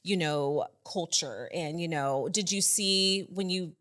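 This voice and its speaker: A woman's voice: fairly low pitch, slow pace, fairly bright tone. A woman hosting a podcast, asking friendly questions with "you know" fillers. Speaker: podcast host. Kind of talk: casual interview.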